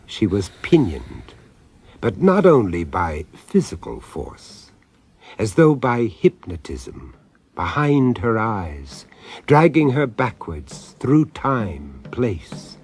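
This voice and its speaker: Slow, husky male radio narrator. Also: lowish voice, very animated. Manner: measured, grave storytelling. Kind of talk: scripted radio drama narration.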